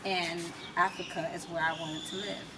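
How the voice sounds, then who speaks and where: An American woman talks conversationally as a guest, explaining her own experience. Her voice is a little lower than average. She sounds somewhat away from the microphone, with clear noise around her. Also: level tone, normal speed. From California, United States. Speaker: interview guest. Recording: distant mic with clear background noise.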